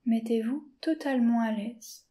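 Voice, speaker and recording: A woman teacher guiding a yoga nidra session in a slow, soft-spoken, calm way, often dropping to a whisper. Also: a little high. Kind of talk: guided meditation.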